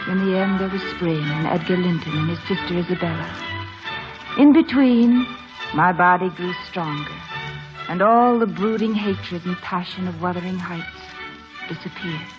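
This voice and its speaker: An actress playing a wistful, passionate young woman in a 1940s radio drama, dramatic and hushed at phrase ends. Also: lowish voice, moderate pace, close to an everyday voice. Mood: wistful narration. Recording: dull old radio broadcast, music behind.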